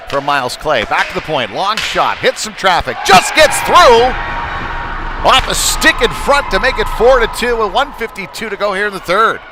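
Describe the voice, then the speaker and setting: A man doing live play-by-play hockey commentary in clipped running phrases that lift with the action. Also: on the high side. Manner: clipped running phrases, excited on big plays. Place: indoor ice rink during a game. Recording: clear rink noise behind the voice.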